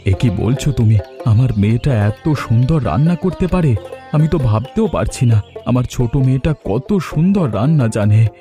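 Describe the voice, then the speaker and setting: A man voicing a gentle, humble, doting boatman father with a reassuring manner in a Bengali cartoon. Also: mid-range voice, expressive, normal speed, music in the background, close to an everyday voice. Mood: content, loving. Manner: affectionate, reassuring.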